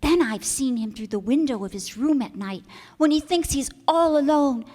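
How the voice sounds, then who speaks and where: An innocent young girl from an old-time radio drama, played by a woman. Her voice is high, and she speaks with earnest, trusting frankness and gentle chiding. A long hall reverb surrounds her. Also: expressive, normal speed. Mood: gently chiding.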